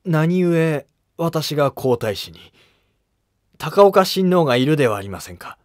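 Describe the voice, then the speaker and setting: A man's voice: a little high, sing-song, measured pace, darkish tone, no room sound, voice pushed up. Man voicing a hesitant, deferential young-royal type. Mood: hesitant, surprised. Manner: formal, humble speech. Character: deferential, hesitant imperial prince, taken aback. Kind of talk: historical drama animation.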